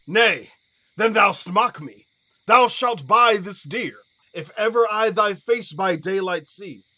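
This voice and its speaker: Guy playing a fiery young lover, high tense voice, declaiming old verse in an audio play. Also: animated, slow pace, bright, forceful tone, full character voice. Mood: angry, defiant. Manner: declamatory, indignant. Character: hot-headed young Athenian lover spoiling for a fight. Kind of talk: Shakespearean verse audio drama.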